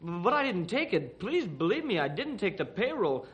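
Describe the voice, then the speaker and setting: A man's voice: sits high, big pitch swings, normal speed, a bit bright, muffled recording. An actor playing an earnest, frightened young American man in a radio drama, with a boyish manner. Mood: pleading. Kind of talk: old-time radio drama acting.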